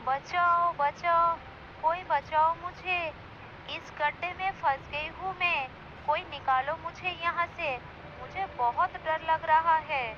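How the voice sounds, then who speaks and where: A sly, conceited vixen acted by a woman from an animated fable, theatrical in delivery. The voice is high-pitched. It comes through a muffled recording with clear noise behind it. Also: slow pace. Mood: frightened, pleading. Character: cunning, conceited female fox, mocking and self-justifying. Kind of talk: animated moral story dialogue. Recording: dull lo-fi sound with clear noise.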